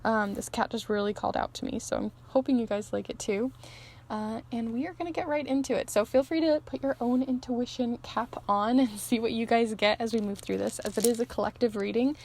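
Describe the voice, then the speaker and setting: A woman's voice: on the high side, fairly even intonation, a little fast, a little mellow, slight background noise. A woman giving a casual, chatty tarot reading to viewers in an encouraging, informal way, her voice starting to give out. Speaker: host, tarot and charm reader. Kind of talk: casual pick-a-card reading, addressing viewers.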